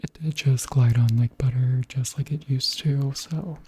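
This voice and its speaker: Hushed, breathy ASMR whisperer around thirty. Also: mid-range voice, steady tone. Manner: gentle, unhurried, conversational. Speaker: ASMR beauty creator. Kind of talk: soft-spoken ASMR chat and product show-and-tell.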